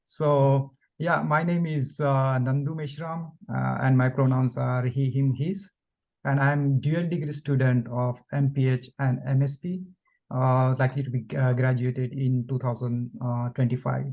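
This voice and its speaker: A man from India speaks as a graduate student on an online panel. He is courteous and keeps thanking his hosts. His voice sits in an ordinary middle pitch. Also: level tone, unhurried, a little mellow. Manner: polite, thanks people repeatedly. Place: video call.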